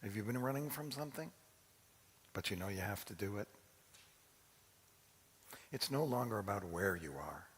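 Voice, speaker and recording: A man's voice: on the low side, lively intonation, moderate pace, pauses often, fairly bright tone, slightly rough. A man delivers a dramatic spoken-word address, putting rhetorical questions and lines straight to the listener. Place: large synagogue hall. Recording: reverberant large hall.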